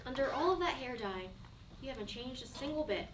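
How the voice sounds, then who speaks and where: A woman's voice: sits high, expressive, brisk. An actress playing a hurt, challenging young woman in a stage play, pointed and confrontational. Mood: bitter. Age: young adult. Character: bitter, challenging 23-year-old ex-best friend, hurt and betrayed. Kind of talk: one-act play dialogue. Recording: echoey room, distant mic, faint noise.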